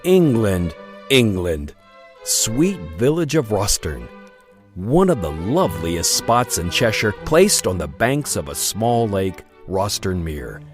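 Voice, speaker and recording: A man narrating a period radio drama in a florid, expressive storytelling manner. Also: fairly low pitch, slow, faint music behind.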